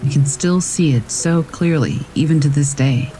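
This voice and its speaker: A man calmly reading scary stories aloud as a narrator, in a steady storytelling manner. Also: a little high, normal speed, faint music behind, rough recording. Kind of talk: scripted narration of reader submissions. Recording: faint rain in the background. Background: rain.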